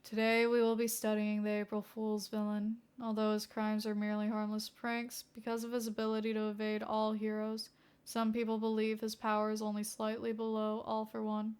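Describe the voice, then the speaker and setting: A woman voicing a stern male schoolteacher lecturing in a dry, measured way during a story reading. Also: mid-range voice, completely flat delivery, darkish tone, clear, resonant tone, close to an everyday voice.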